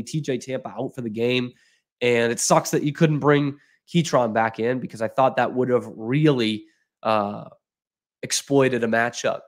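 A young man breaks down a bad game on his own sports podcast, sounding exasperated and sarcastic. He talks straight to his viewers. His voice sits at an ordinary middle pitch, close on a desk mic in a small room at home. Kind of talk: postgame analysis, casual rant. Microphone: desk microphone in front of him.

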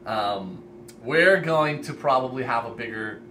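Bright-voiced, enthusiastic young man. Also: mid-range voice, unhurried. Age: 20s to 30s. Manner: enthusiastic, earnest. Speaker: host, reptile expo organizer. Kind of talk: casual vlog announcement to camera. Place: indoor room lined with reptile racks. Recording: steady ambient room noise.

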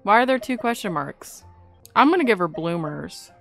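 Young female gaming YouTuber: chatty, playfully incredulous, mid-pitched with lively swings, casual. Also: normal speed, creaky. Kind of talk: casual gameplay commentary. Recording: game music behind the voice.

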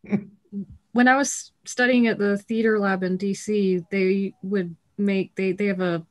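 A young woman on a video call, casually telling a story in an animated, halting way with lots of "like". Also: mid-range voice. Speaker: actor in a community theatre production. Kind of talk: casual reflective anecdote. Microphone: video call computer mic.

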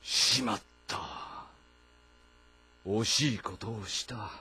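A man playing a proud, brooding middle-aged warlord in a historical game drama, speaking with deliberate authority. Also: fairly low pitch, pushed and bright, breathy edge, full character voice. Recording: voice over background music.

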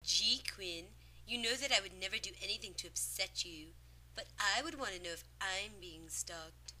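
A woman's voice: mid-range voice, moderate pace, pushed and bright, poor audio. A woman voicing a snide, haughty teenage queen bee in a cartoon, drawling with condescension. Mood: condescending. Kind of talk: cartoon acting.

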